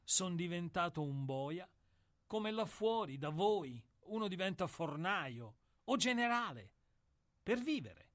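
A weary old executioner delivers a radio play monologue. His voice sits high and moves slowly, with declamatory weight and long pauses. He sounds humble and philosophical, brooding over a grim trade. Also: sing-song, a bit bright, full character voice. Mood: reflective, confessional.

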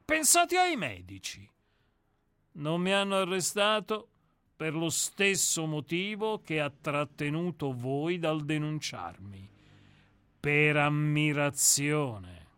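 Brief: man voicing a scornful, self-assured murderer-novelist for a radio play; slow, bright, smooth delivery. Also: a little high, very animated, no echo, full character voice. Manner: lecturing, sardonic, self-assured. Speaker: character in a radio play reading. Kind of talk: radio drama reading.

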